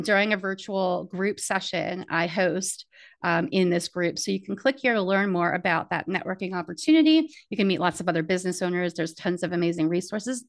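A middle-aged woman speaks casually into a desk microphone in a small home office. Her delivery is chatty and unscripted, full of ums and uhs. Her pitch jumps up brightly whenever she mentions something new. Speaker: community strategist walking through her website. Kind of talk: casual screen-share walkthrough.

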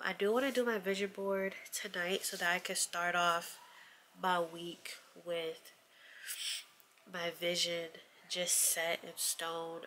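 A woman's voice: on the low side, a little hiss or hum. A woman in her twenties with a stuffy nose from allergies, chatting casually to the camera in a small bedroom. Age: 20s. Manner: relaxed, chatty, many fillers. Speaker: vlogger. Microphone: camera close up on a desk.

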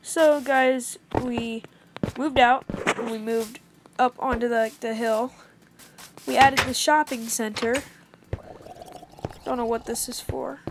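A woman's voice: a little high, fairly even intonation, takes it slowly, pauses often, background noise. A young woman hosting a casual game commentary, chatty and upbeat as she recaps the session. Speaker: gaming channel host.

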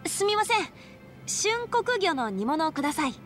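A woman acting a friendly, modest young nightclub hostess in a Japanese game dub, with expressive, casual feminine speech. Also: very high-pitched, moderate pace, soft background music.

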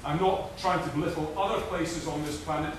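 A middle-aged Scottish man giving a brisk, assured prepared speech at a conference lectern, with frequent filler restarts. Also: on the high side, steady tone. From Scotland. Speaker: conference speaker. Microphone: lectern mic recorded by a far camera. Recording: echoey hall from far off, noisy.

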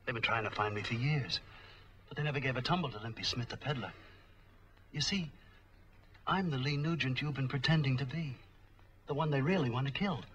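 A furtive street peddler with a secret , played by a man, in a 1940s film noir. His voice is sharp and medium in pitch, on an old movie soundtrack. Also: a little fast, long pauses, lo-fi sound, lightly acted. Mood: sly, confiding. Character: furtive, self-preserving street peddler hiding his identity.

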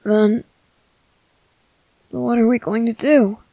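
A tender young merman acted by a woman speaks in a soft, reassuring ASMR roleplay. The voice is fairly high and very slow, with earnest, halting phrases. The sound is dry and close. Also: steady tone, vocal fry, dry recording, exaggerated voice, rough recording. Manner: soft, halting, soothing delivery.

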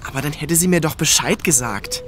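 An actor playing a worried, determined teenage musician in a children's audio drama, talking in casual youthful slang. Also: male voice, on the high side, a little fast, a bit bright, close to an everyday voice.

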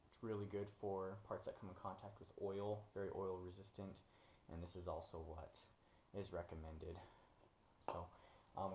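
A young man casually explaining an oil pan install in his home garage, relaxed and full of ums while he works. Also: low voice, background noise. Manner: relaxed, many ums and uhs while working. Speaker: DIY mechanic host. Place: home garage, concrete floor. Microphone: camera on the floor nearby, hands busy.

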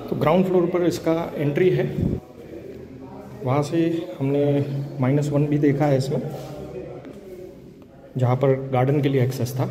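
A man's voice: on the high side, low-quality recording. A man walking through an empty house, casually pointing out each room for a property tour video. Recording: phone video with clear room noise.

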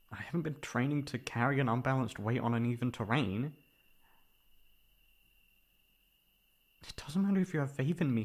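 A young man playing a caring, mildly exasperated boyfriend in an ASMR roleplay, coaxing and teasing with breathy sighs. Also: on the high side, a little mellow. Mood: flustered, protesting.

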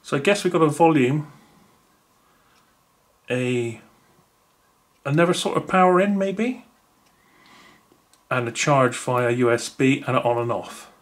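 A man's voice: medium pitch, lively intonation, a little slow, long pauses. Musing man unboxing gadgets. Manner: musing, thinking aloud with many fillers. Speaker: unboxing video host. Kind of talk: casual unboxing commentary. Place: indoors at a wooden table.

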